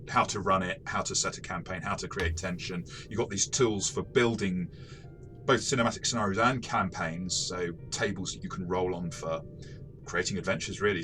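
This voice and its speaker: Very deep, bright-voiced man reviewing games. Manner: halting, thoughtful, often rephrasing mid-sentence. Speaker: co-host of a game review show. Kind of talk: casual discussion, tabletop game review.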